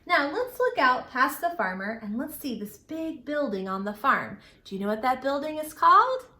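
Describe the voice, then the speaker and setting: A woman hosting a children's storytime, speaking in simple, encouraging, exaggerated phrases as she teaches and asks questions. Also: fairly high pitch. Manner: exaggerated, animated delivery. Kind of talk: children's storytime lesson with questions and sing-song. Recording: roomy, echoing indoor sound.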